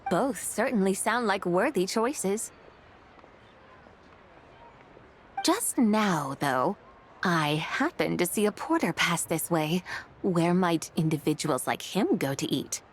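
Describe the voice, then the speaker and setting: A woman's voice: fairly low pitch, very animated, measured pace, a little hiss or hum. A woman voicing a poised immortal female spirit in a video game, formal, dignified and lofty. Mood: composed.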